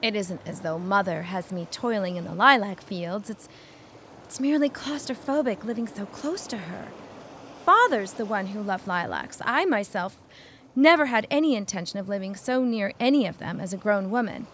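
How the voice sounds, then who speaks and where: An actress playing a refined young widow of 1916 in a period audio drama, speaking in genteel, formal, old-fashioned phrases. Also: female voice, medium pitch, lively intonation, moderate pace, a bit bright, close to an everyday voice. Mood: candid. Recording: clear background ambience noise.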